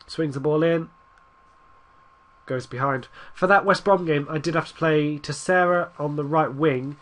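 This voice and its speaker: A young man with a blocked, stuffy nose from a cold talks through his football management game in a loose, rambling way. His voice sits a little high for a man, and he restarts sentences often and cheers his side on as matches play out. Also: a bit bright. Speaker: gaming YouTuber. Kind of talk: casual gameplay commentary.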